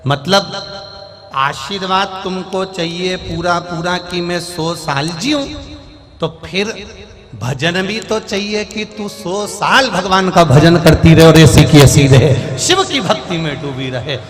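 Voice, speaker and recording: An Indian man preaches to a big crowd in a fairly high voice that swells and drops, and he keeps pressing the listeners to answer him. The sound rings with long reverb from the hall. Devotional music plays clearly underneath. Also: takes it slowly. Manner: rises and falls, urges the crowd to answer back. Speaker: preacher telling a Shiva scripture story. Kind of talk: devotional sermon to a large crowd. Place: large venue with a crowd and speakers.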